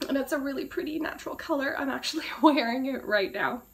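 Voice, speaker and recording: A woman with a hoarse, cracking voice she says she is losing, chatting casually through a beauty product review and often clearing her throat. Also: sits high, fast talker. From Canada. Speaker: beauty vlogger.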